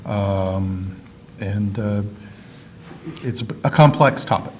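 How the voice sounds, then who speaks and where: A man on a utility board summing up a discussion, measured and halting. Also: fairly low pitch, a little hiss or hum.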